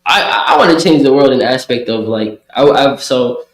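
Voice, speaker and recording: A man's voice: medium pitch, lively intonation, fairly quick. A young man from Kenya talking as a guest in a casual interview, loose and conversational with many fillers and restarts. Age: young adult. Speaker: guest, community program worker. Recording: roomy echo.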